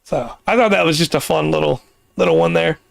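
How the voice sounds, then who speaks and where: A man hosting a casual podcast, explaining things at length with pauses and restarts, his voice getting worn. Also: on the high side, vocal fry, no echo. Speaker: podcast host.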